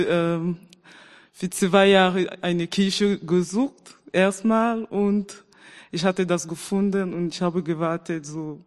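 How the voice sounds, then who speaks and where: A woman's voice: lowish voice, a little mellow. A young woman in her twenties, a student, giving a hesitant personal testimony in church. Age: 20s. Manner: hesitant, repeating herself. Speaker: student and baptism candidate. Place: church hall. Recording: reverberant church hall.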